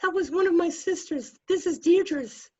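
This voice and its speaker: A woman playing a whiny young babysitter and courier in a radio drama, with a drawn-out, complaining delivery, on a dull recording. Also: very high voice, a little mellow. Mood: sour, complaining.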